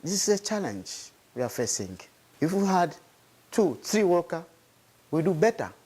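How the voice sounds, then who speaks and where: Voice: mid-range voice, very animated, normal speed, dark tone, slightly rough. An African community leader speaking emphatically in an interview, repeating key points.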